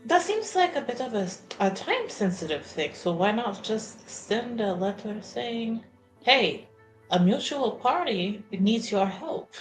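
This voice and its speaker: A woman's voice: on the high side, big pitch swings, a little slow, faint music behind, poor audio. A woman playing in a tabletop roleplaying game, talking casually in and out of character.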